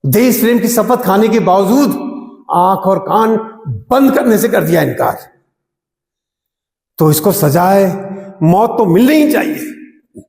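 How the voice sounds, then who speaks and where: A man acting an impassioned, bitterly ironic army defence lawyer in a theatrical courtroom monologue, oratorical, with emphatic repetition and dramatic pauses. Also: high-pitched, animated, vocal fry, darkish tone, full character voice. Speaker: actor performing an audition monologue. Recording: echoey reverberant room.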